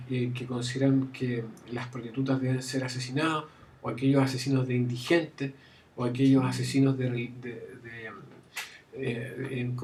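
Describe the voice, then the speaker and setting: A man's voice: medium pitch, slight background noise. A man giving a university lecture, often restarting phrases and checking in with his students. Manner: frequent fillers and restarts, checks understanding with "¿ya?". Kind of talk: classroom lecture. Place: classroom.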